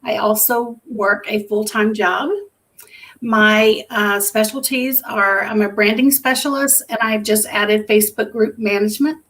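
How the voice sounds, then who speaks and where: A woman's voice: medium pitch, steady tone, a little slow, a bit bright. A woman in her fifties from Georgia with a Southern accent, a business owner introducing herself on a video call and laughing easily.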